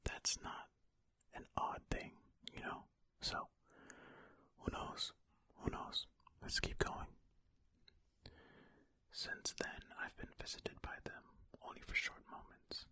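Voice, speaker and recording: An ASMR host whispering breathily ear to ear into a close stereo microphone, reading a story aloud in an unhurried, musing way with casual asides. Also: extremely high pitch, steady tone, sharp tone, no room sound.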